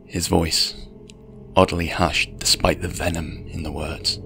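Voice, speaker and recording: Male storyteller, low creaky voice, scripted horror narration, music underneath. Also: level tone, measured pace, pushed and bright.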